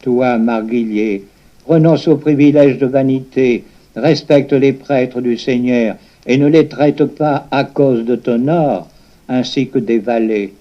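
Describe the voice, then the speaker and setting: A man recites sacred poetry in a solemn, sermon-like style. His delivery is very slow and declamatory, each phrase deliberately set apart and pressed on the listener. The pitch sits in a medium male register, and the recording is somewhat dull and lo-fi. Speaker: reader reciting a religious poem.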